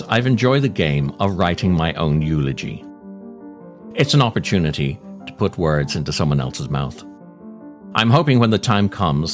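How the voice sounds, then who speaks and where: A man with a low voice delivers a scripted comic monologue. His delivery is theatrical and wry, swinging widely in pitch and taking its time with deliberate pauses. Faint music plays underneath. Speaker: podcast host, writer and actor reading his own work.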